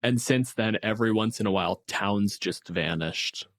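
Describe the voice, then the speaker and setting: A man co-hosting a casual tabletop game discussion, explaining things in an animated way and swearing freely. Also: on the low side, moderate pace. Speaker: podcast co-host.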